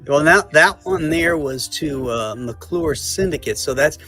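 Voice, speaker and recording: A man in a casual panel chat, explaining history in a halting way. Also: a little high, expressive, sharp tone, soft background music.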